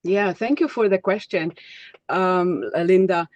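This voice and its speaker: A woman, an English speaker, answering a question in a thoughtful, halting way as she searches for words. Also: fairly low pitch, darkish tone, no echo, muffled recording. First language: Hungarian. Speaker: workshop participant.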